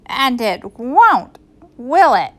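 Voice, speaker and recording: A stern witch of a teacher, acted out by a woman, scratchy and menacing. She gives orders slowly, with big swings of pitch, like a storybook villain. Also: big pitch swings, exaggerated voice. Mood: sly menace. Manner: commanding, menacing, drawn-out. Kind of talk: children's storybook read-aloud.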